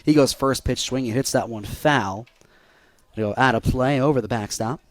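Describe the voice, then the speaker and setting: A man's voice: medium pitch, normal speed, dry recording. Young American man calling baseball play-by-play, dry sound. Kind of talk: live radio sports play-by-play.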